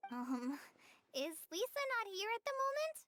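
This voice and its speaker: A shy, earnest girl speaks slowly in a soft, childlike, very high voice. She sounds hesitant and sincere, an anime game character with faint music behind her. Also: sing-song, full character voice. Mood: sheepish. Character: shy, earnest young girl, gentle and unsure.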